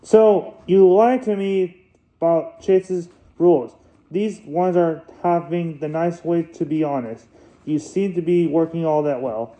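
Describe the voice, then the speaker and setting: A man's voice: on the high side, measured pace, mellow, rough recording. A man reviewing and unboxing toys in a casual, rambling way, often stumbling and correcting himself. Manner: rambling, stumbles over words and restarts often. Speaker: toy reviewer.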